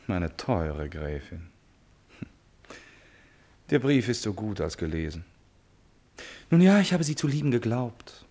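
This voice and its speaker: An actor playing an impulsive, self-absorbed prince in love, in a classic German radio play, with theatrical exclamations and musing asides. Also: male voice, on the low side, very animated, slow pace, pauses often, darkish tone, exaggerated voice. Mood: musing, wistful.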